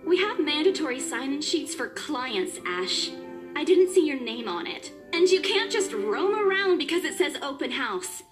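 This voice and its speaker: A woman voicing an exasperated, guarded young working woman in a visual novel game, brisk and expressive. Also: high-pitched. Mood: dry, scolding. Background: video game music.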